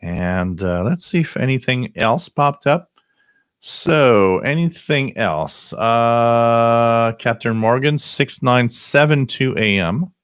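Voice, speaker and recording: A man's voice: mid-range voice, animated, slow pace, clean tone, no echo, clean studio sound. A man hosting a live shortwave radio stream, joking, laughing often and reading out frequencies. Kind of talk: casual live-stream chat.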